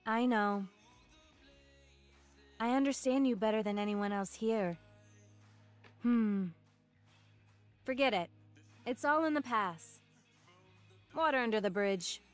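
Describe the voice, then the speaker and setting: A woman's voice: fairly high pitch, slow pace, long pauses. A woman playing a brash, easygoing young woman fighter in a video game cutscene, with casual, wry, slangy delivery. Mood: gentle, resolute. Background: music.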